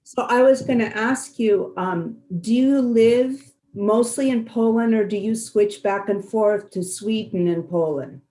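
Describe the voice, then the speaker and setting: A woman's voice: mid-range voice, measured pace, mellow. Woman interviewer in an echoey room. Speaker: festival Q&A moderator. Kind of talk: conversational interviewing.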